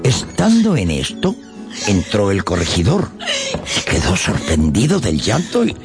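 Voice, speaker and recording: A gravelly-voiced man narrates a radio novel. His delivery is very animated and expressive, like scripted storytelling, with faint music behind him. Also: lowish voice, a little slow.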